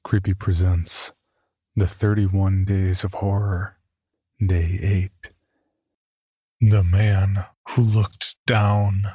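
A man hosting a horror podcast, reading its notices and then narrating a scary story in a deliberate, ominous way. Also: low-pitched, flat delivery, mellow, slightly rough, dry recording, clean studio sound.

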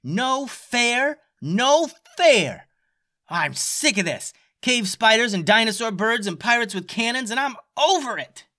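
A man voicing a bold, fiery young girl with magic powers, who talks loudly and impatiently in a storybook reading. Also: mid-range voice, lively intonation, normal speed, no echo, studio quality, pitched above the performer's own voice, close to an everyday voice. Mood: outraged. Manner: loud, exclaiming, impatient.

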